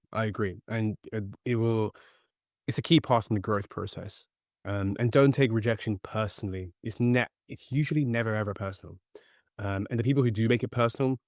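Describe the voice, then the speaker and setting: A man's voice: lowish voice, no room sound, clean studio sound. A man speaking as a podcast guest in a conversational interview, earnest and emphatic as he gives advice. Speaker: podcast guest, actor and startup founder.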